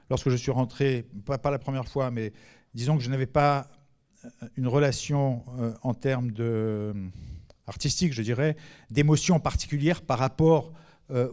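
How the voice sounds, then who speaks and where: A man's voice: medium pitch, a little mellow. A man, a priest speaking as a panel guest, reflecting personally in a modest, hesitant way.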